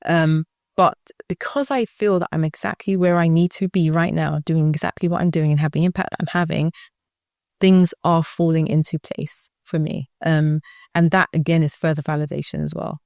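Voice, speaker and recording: British woman, school founder, reflective and conversational, low-pitched, dry recording. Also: steady tone, normal speed, a little mellow, no echo. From United Kingdom. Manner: reflective, often checks in with the listener. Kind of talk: conversational interview answer.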